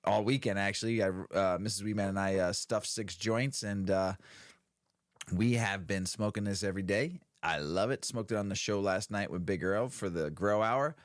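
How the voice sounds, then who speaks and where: A man with a very low, deep voice co-hosts a relaxed podcast chat. He rambles along easily, full of "uh"s and restarts. The sound is dry and close, with no room around it. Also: moderate pace, no room sound. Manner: laid-back, rambling with many fillers. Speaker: podcast co-host.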